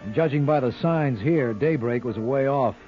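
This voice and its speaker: An actor playing a wisecracking, flirtatious lawyer with glib, wry banter in a 1950s radio detective drama. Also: male voice, mid-range voice, moderate pace, soft background music, natural voice. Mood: wry, confiding. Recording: dull old-time radio recording.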